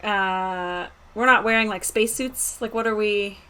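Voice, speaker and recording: A woman's voice: medium pitch, measured pace. A woman chatting casually as a player in a tabletop roleplaying game. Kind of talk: casual chat. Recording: faint background noise.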